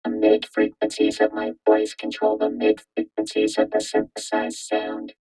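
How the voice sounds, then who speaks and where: A woman speaking through a vocoder that makes her voice a synthetic, robotic synthesizer tone, in slow demonstration phrases. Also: very deep voice, completely flat delivery, dark tone, breathy edge, faint music behind, voice pushed down, full character voice. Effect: vocoder robot filter.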